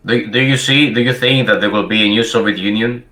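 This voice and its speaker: A man's voice: mid-range voice, a little fast, bright, forceful tone, roomy sound. A man from Greece, talking to a stranger in a casual video chat, hesitant and full of fillers.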